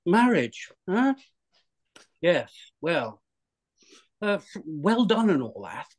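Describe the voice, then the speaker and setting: A man's voice: high voice, sing-song, drawn-out words, full character voice. A man reading the part of a stiff, disapproving upper-class father in a script read-through over a video call.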